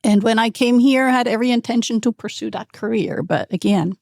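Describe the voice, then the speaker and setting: A woman's voice: fairly high pitch, a little fast, gravelly, darkish tone, no echo, clean studio sound. A middle-aged woman from Switzerland, an English speaker telling stories as a podcast guest. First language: not English. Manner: storytelling, laughs often. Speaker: guest, realtor. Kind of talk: casual interview chat.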